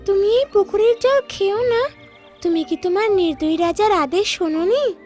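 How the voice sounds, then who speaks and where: Woman as an extremely high-pitched magical fish. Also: normal speed, a little mellow. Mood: urgent warning. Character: beautiful colourful magical fish, cautioning and concerned. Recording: background music under the voice.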